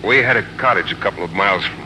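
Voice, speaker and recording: An actor playing a troubled, wry, affectionate husband in a 1940s radio drama, hesitant and self-mocking. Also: male voice, sits low, rapid-fire, natural voice. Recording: noisy, humming 1940s radio broadcast.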